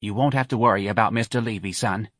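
A man voicing an anxious, pleading older mother from New with a high, quavering voice. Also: quick pace, a bit bright, no room sound, studio quality.

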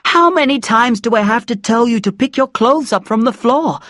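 A reader acts out a middle-aged mother in an audiobook, her voice pitched up high. She is angry and possessive, nagging and scolding with insistent complaints. The sound is close and dry. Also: animated, moderate pace, fairly bright tone, no room sound, lightly acted.

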